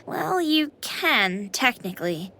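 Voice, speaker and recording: High, lilting girlish AI companion. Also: big pitch swings, takes it slowly, sharp tone, exaggerated voice. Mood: light, caring. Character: playful, caring female AI companion with girlish anime persona. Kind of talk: scripted video game voice acting. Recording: music behind the voice.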